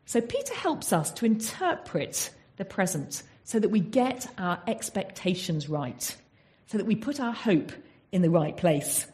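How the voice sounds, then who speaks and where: A woman's voice: medium pitch, big pitch swings, measured pace, warm tone. An English woman giving a Bible talk in an earnest teaching manner, often asking her listeners rhetorical questions. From England. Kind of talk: sermon.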